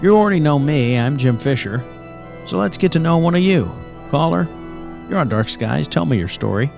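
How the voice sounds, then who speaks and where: An actor playing a reassuring 1990s late-night radio host of a paranormal show, with smooth showman patter. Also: on the high side, animated, moderate pace, over music.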